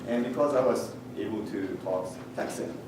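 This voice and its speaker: A middle-aged Japanese man speaking English with a Japanese accent, giving a measured technical conference talk with frequent "uh" pauses and a touch of dry humor. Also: lowish voice. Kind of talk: presentation talk with slides. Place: large conference hall. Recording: echoey hall picked up from far off with noise.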